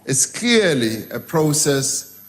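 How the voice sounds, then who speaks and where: A man gives a formal reply in parliament, measured and courteous. He speaks slowly and deliberately, with plenty of hesitation fillers. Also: a little high, sing-song, slight background noise. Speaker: president answering in parliament.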